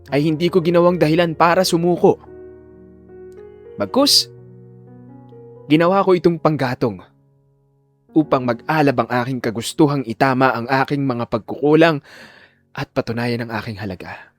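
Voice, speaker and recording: A man voicing an earnest, determined teenage valedictorian in an audio drama, speaking formally and emotionally. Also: a little high. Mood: impassioned, resolute.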